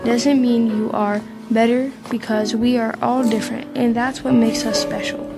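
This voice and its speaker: A woman's voice: on the high side, steady tone, moderate pace, over loud music. A young woman reading scripted narration for an amateur radio play.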